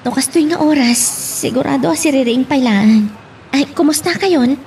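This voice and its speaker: A woman's voice: high-pitched, normal speed, a little mellow, slight background noise. A woman with a Filipino accent playing a troubled daughter who hides her sadness, in a radio drama. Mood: weary, uneasy. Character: troubled daughter hiding her tears from her father. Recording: music under the voice.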